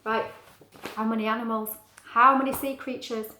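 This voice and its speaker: A woman's voice: a little high, steady tone, moderate pace, bright, forceful tone, a little hiss or hum. A woman reading a picture book aloud to young children, explaining things simply and adding chatty asides. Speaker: story reader for young children. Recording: echoey, roomy sound.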